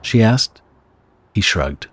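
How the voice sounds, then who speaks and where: A man's voice: on the low side, expressive, slow pace, fairly bright tone, slightly rough, no room sound. A man narrating a pulp horror audio drama from a script. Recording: guitar music behind the narration. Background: plucked guitar music.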